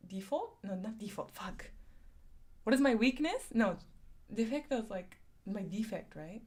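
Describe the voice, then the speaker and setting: A woman's voice: medium pitch, very animated, a little slow, a little mellow. A young woman from San Diego with a lisp, chatting casually on a live stream, reading chat comments aloud and laughing often. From San Diego, USA.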